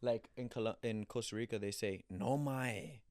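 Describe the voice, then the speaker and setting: A young man from Colombia, a former pro soccer player, telling animated stories in a casual interview, acting out quotes and restarting often. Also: lowish voice, moderate pace. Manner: animated storyteller, acts out quotes, frequent restarts.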